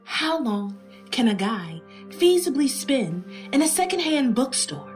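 A blunt, exasperated female bookstore worker in a radio play. Her voice is fairly high and very expressive, with a sardonic edge and faint music behind. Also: a little slow. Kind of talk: radio drama dialogue.

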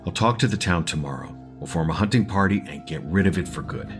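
A man's voice: low-pitched, lively intonation, fast, over music. An actor playing a grave, responsible father in a horror audio drama, somber and resolute. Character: grave, responsible father running a family campground.

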